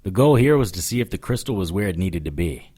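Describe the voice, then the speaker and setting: Detective lead: dry, defiant male investigator, fairly low voice, animated first-person radio-drama delivery. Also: quick pace, breathy edge. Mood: wry narration. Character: wry, defiant male supernatural investigator narrating his case.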